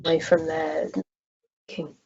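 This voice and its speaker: A woman's voice: low-pitched, completely flat delivery, unhurried, gravelly, darkish tone, slightly rough. A woman presenting informally on an online call, friendly and inviting, with hesitant phrasing. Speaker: guest presenter. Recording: dull, noisy online call with clicks.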